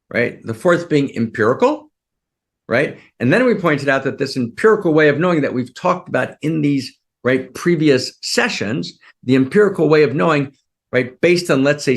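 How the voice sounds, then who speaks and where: A man giving an earnest teaching session to a group, often repeating himself and checking that his listeners are following. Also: on the high side. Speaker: spiritual teacher.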